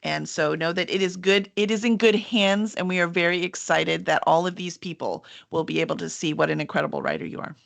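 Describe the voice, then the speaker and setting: A woman's voice: on the low side, brisk, gravelly, hardly any pauses. A woman hosting a live online author interview as a publisher, effusive and encouraging as she chats.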